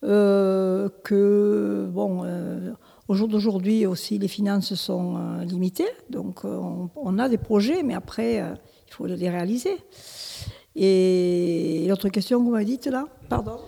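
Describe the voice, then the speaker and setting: A woman's voice: medium pitch, a little slow, vocal fry, dark tone, slightly rough, long reverb tail. A woman in her seventies, born in Italy, answering interview questions as a village mayor, hesitant with many restarts and fillers. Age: 70s. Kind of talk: local interview.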